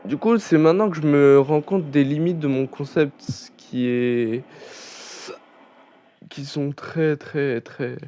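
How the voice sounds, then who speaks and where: A man's voice: a little high, slow pace, warm tone. A young man chatting casually over a racing game, rambling with many fillers and restarts. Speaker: gaming channel host. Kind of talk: casual gameplay commentary.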